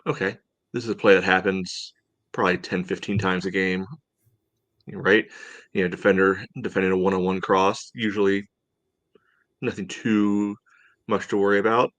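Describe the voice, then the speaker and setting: Man, deep voice, soccer podcast host, casual analysis, halting delivery full of filler phrases, dry recording. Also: little pitch movement, a bit bright, no echo. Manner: halting, peppered with "you know". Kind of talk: casual match analysis chat.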